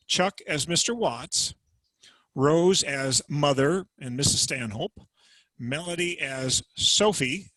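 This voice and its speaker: A man introducing and narrating a dramatic reading over a video call, in a measured, explanatory way. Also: on the high side, sharp tone, no echo.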